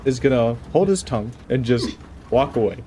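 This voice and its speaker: A man's voice: mid-range voice, expressive, normal speed. A man playing a tabletop game, improvising his lines in a casual, conversational way. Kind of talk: casual improvised roleplay chat. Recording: noisy background ambience.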